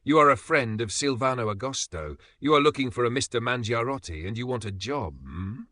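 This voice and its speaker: A man's voice: medium pitch, lively intonation, a little fast, sharp tone, no room sound. A man voicing an amused, ironic Italian businessman who teases a young man, drawn out and theatrical. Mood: teasing.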